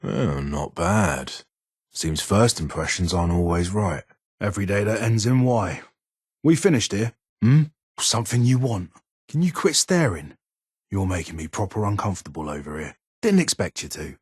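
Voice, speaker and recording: Casting: a grumbling, guarded older British ghoul engineer for a game; deep gravelly voice, close dry sound. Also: male voice, expressive, normal speed, no room sound. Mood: irritable, curt. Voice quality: raspy, gravelly. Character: gruff, irritable older male ghoul weapons engineer. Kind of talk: video game character dialogue.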